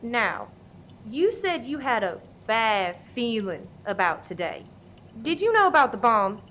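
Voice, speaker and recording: A woman's voice: a little high, very animated, measured pace, a bit bright, slight background noise. A woman acting as a bossy, threatening interrogator in a comedic radio play, barking orders in a commanding way. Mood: stern.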